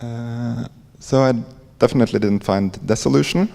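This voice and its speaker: A man with a Norwegian accent giving an informal conference presentation in a halting, hesitant way, with frequent pauses. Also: medium pitch, gravelly, darkish tone. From Norway. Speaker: conference presenter, library coder. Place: conference hall. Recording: echoey hall with long reverb.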